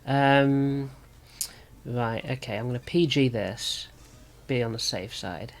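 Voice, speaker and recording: A man with a sore throat and slightly rough voice, thinking aloud as he gives casual commentary on a card game. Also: mid-range voice, slow. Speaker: gaming video host.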